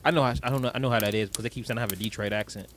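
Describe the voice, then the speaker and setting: A man's voice: medium pitch, steady tone, very fast, background noise. A young man co-hosting a podcast, chatting casually and animatedly about a movie, laughing often and swearing. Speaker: podcast co-host.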